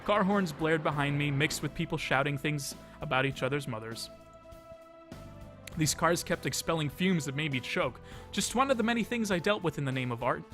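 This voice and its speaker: Higher-pitched man narrating a game over music. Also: lively intonation, moderate pace, fairly bright tone. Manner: dramatized storytelling with casual asides. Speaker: let's play video host reading the game text aloud. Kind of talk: expressive read-aloud narration of a visual novel. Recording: music behind the voice.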